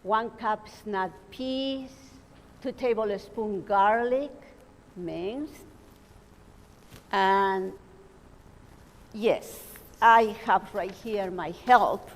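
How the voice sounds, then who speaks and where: A woman with a Peruvian Spanish accent, a native Spanish speaker, giving a live cooking demonstration, pausing often with fillers as she reads from her notes. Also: medium pitch. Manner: hesitant, with frequent fillers, reading from notes. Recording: long reverb in a hall.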